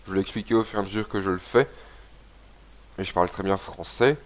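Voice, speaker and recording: A young man with a head cold talks through a game session, his voice a bit low and stuffy. He rambles casually and reads the on-screen text aloud as he plays. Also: fairly quick. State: has a cold, congested. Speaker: gaming video host. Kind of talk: casual let's-play commentary.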